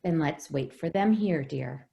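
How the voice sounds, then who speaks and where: A woman playing a slow, lilting, fussing nanny. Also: low voice, expressive, creaky, mellow, full character voice. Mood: gently evasive. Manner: coaxing, soothing, falsely cheerful. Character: gentle, fussing nanny minding a little girl. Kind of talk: old-time radio drama acting.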